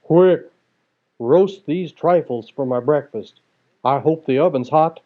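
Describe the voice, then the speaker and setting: Storybook ogre, performed by a male reader: huge, hungry, gruff and bellowing, thin phone-like audio. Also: slow. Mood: hearty, demanding. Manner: booming, harsh.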